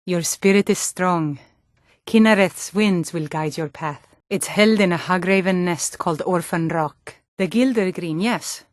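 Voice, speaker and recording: An actress playing a sturdy, earnest Nord woman in a fantasy video game, with clear, deliberate delivery. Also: on the low side, no room sound, clean studio sound, natural voice.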